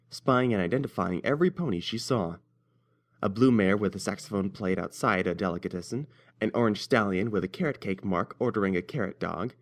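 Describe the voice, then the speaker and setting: A man narrating a scripted story as its reader. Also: fairly low pitch.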